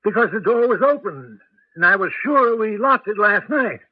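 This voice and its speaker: An anxious old grandfather who grumbles and hesitates through a 1980s radio drama. His querulous voice is high for a man, and the broadcast recording is muffled. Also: expressive, moderate pace, breathy edge, no room sound. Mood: bewildered, doubtful.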